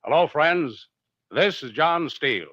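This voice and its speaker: A man's voice: on the high side, lively intonation, a little slow, fairly bright tone. A man playing a genial adventurer who hosts and narrates suspense tales on old-time radio. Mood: genial, intriguing. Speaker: host and narrator. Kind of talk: 1940s radio drama narration. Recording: dull old radio recording with music.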